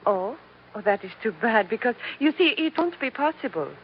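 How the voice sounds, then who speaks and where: A woman's voice: fairly high pitch, animated, lightly acted. Woman playing a coy femme fatale, muffled radio sound. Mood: sweetly veiled. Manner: coy, gracious, deliberate. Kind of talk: 1940s radio acting. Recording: muffled, noisy old radio recording.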